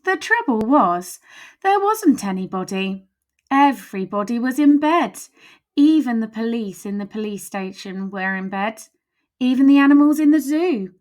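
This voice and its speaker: A woman's voice: fairly high pitch, expressive, a little slow. A woman storyteller reading a children's picture book aloud expressively, with emphatic, suspenseful phrasing.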